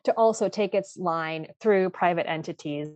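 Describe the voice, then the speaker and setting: A woman giving a panel presentation, talking haltingly with many fillers and restarts. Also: fairly low pitch, darkish tone, dry recording, highs cut off.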